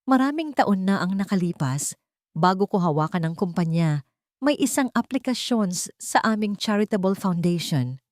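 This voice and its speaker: A woman voicing an earnest young male tycoon, soft-spoken, regretful and protective as he confesses. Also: medium pitch, expressive, moderate pace, dry recording, studio quality, lightly acted.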